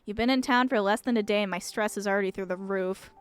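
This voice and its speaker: A woman's voice: mid-range voice, quick pace, clean tone, faint music behind, close to an everyday voice. A woman voicing a stern, stressed young woman in a visual novel, scolding and humorless. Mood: exasperated, stern. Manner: stern, exasperated.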